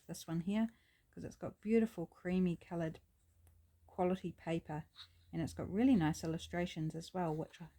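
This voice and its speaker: A woman with a New Zealand accent and a scratchy voice, chatting casually while she works on a craft project. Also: on the low side. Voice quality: gravelly, scratchy. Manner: chatty, relaxed, thinking aloud. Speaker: craft channel host.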